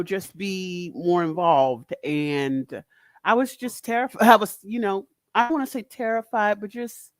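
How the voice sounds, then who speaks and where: A middle-aged woman from the Northeast US with a big, deep, loud voice, talking candidly and directly in a casual podcast chat as a guest speaker. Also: no room sound, clean studio sound. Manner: direct, candid, laughs often.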